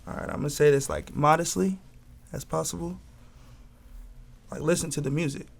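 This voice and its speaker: A man's voice: on the high side, fairly even intonation, normal speed, creaky. A man in his twenties from Southern California, a record label head talking in a loose, laid-back way with lots of slang and fillers. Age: 20s. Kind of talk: casual interview talk.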